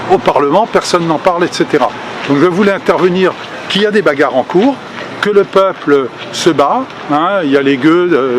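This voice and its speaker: Older man giving a halting outdoor speech. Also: a little high. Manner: hesitant, many fillers and restarts, earnest. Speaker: activist speaking at a public meeting. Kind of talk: spontaneous political speech. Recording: outdoor recording with street noise.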